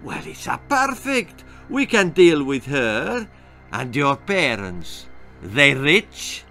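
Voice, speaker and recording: A man's voice: a little high, sing-song, slow pace, bright, forceful tone, faint music behind, heavily acted. Man doing a sing-song Italian mafioso pizza seller. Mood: sly, scheming.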